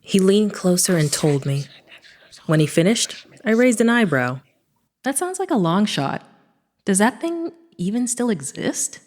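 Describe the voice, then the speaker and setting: A woman's voice: mid-range voice, big pitch swings, unhurried, darkish tone. A woman playing a sarcastic, reckless adventurer who narrates her own audio drama in a wry, casual, self-deprecating way. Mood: curious. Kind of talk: first-person audio drama narration and dialogue.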